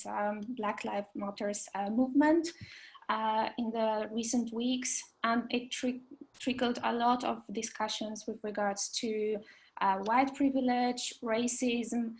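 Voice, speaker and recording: A woman's voice: a little high, poor audio. A woman hosting an online panel over a video call, speaking hesitantly with many fillers. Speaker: meetup host and moderator.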